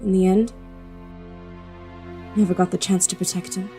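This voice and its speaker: A woman plays a young princess in an audio drama, fierce and protective of her royal father. Her voice sits at a medium pitch with an imperious edge. Faint music runs behind her. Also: flat delivery, normal speed, warm tone, natural voice. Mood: regretful, resolved. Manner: imperious, clipped commands.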